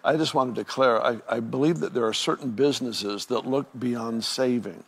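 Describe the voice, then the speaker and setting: A man's voice: medium pitch, normal speed, breathy edge. A man in his sixties, a pastor talking with a guest in an earnest, reflective way with frequent restarts. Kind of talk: conversational ministry interview.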